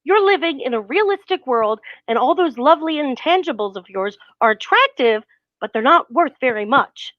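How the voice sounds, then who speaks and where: A woman's voice: high-pitched, animated, normal speed, no echo, dull audio. An actress playing a practical, sceptical career woman in a 1940s-style radio play, earnest and reproachful. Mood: firm, dismissive.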